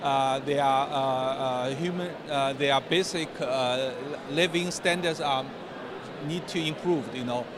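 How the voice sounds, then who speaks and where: A man from China, a conference delegate giving a hesitant vox pop answer full of pauses and fillers. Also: mid-range voice, background noise.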